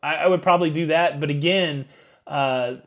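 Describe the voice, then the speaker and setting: A man's voice: fairly high pitch, moderate pace. A man around fifty hosting a live stream, reading viewer questions and answering in a rambling, chatty way with many fillers. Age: 50s. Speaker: YouTube cruise channel host. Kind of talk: live Q&A chat reading super chats.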